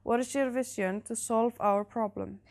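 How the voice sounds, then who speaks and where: A teenage girl with a slightly high voice reads out prepared questions for an interview. Her delivery is even and scripted. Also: moderate pace, vocal fry. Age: teens. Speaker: student interviewer.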